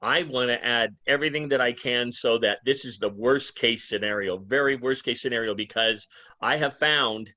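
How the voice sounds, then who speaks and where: Bright-voiced man with a halting comment. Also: mid-range voice, no echo. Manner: halting, repeats words. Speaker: real estate agent in the class. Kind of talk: class discussion comment. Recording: dry, dull lo-fi sound.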